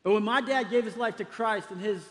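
A middle-aged pastor from Texas delivers a sermon in a high male voice. He is emphatic and urging, often repeating a phrase to drive it home. The sound rings with long hall reverb. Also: brisk, fairly bright tone. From Texas, United States. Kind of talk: sermon to a congregation.

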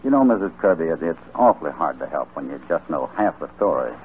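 An actor playing a shrewd, calm American cowboy hero in a radio western, with a measured, probing manner. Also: male voice, a bass voice. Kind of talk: old-time radio western acting. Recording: muffled, noisy vintage radio recording.

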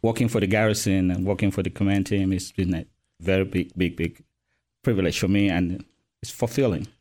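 Earnest Cameroonian-born man, lowish voice. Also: fairly even intonation, fairly quick, darkish tone. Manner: earnest, hesitant with many ums. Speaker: retirement services officer. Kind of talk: casual podcast interview.

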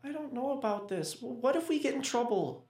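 A woman's voice: fairly high pitch, expressive, a little fast, darkish tone, natural voice. A woman playing a timid but eager twelve-year-old boy in an audio drama, speaking hesitantly with frequent stammers. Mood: nervous. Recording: roomy, echoing sound.

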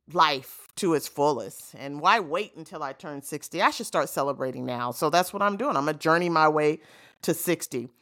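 A woman in her fifties hosting a podcast, talking reflectively and telling stories with easy asides. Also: deep voice. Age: 50s. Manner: warm, reflective storytelling with frequent "you know" asides. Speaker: podcast host. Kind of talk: reflective solo monologue.

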